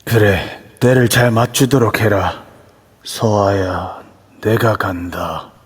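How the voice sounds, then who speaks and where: A man's voice: fairly low pitch, slow pace, slightly rough, heavily acted. A man playing the vengeful, menacing ghost of an old-time lord in an amateur audio drama, speaking in archaic, imperious phrases. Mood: grieving. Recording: long reverb throughout.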